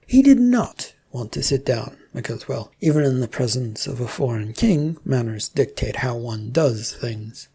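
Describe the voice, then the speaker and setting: A woman's voice: very deep voice, expressive, a little slow, few pauses, dark tone, slight background noise. A woman reading scripted fiction aloud as the narrator of a story.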